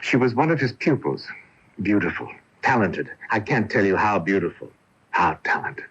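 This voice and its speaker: An actor playing a lovesick, intense male ghost in a radio mystery drama, with halting, theatrical, fervent speech. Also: fairly low pitch, very animated, fairly bright tone, husky, full character voice. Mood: wistful. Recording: dull lo-fi 1980 radio broadcast tape.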